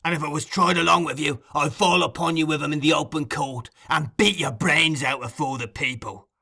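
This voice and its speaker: A man's voice: medium pitch, lively intonation, moderate pace. A man voicing a brutal, short-tempered male housebreaker in an audiobook, blustering with menace. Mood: threatening. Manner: forceful, blustering threats. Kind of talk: audiobook character acting.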